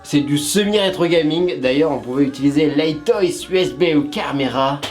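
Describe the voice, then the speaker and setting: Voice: medium pitch, moderate pace, darkish tone, soft background music. A co-host of a web show explaining things casually, with playful asides to the viewers.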